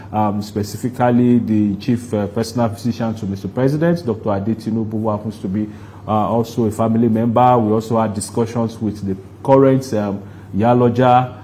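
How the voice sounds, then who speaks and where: A man with a Nigerian accent answering interview questions in a deliberate, halting way, explaining things at length. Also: mid-range voice, warm tone, slight background noise. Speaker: guest, stage play producer.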